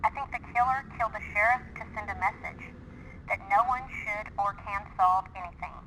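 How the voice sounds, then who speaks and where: A woman acting as an earnest but wary small-town local, speaking in a conversational way on a phone call. Her pitch is average and the recording is clearly noisy. It sounds like an interview in an audio drama. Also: fairly quick, lightly acted.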